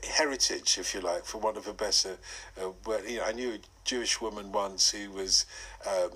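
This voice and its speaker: A man's voice: lowish voice, steady tone, normal speed, sharp tone, husky, low-quality recording. A man in his fifties hosting a radio interview, talking in a musing, conversational way and asking leading questions. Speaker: radio host.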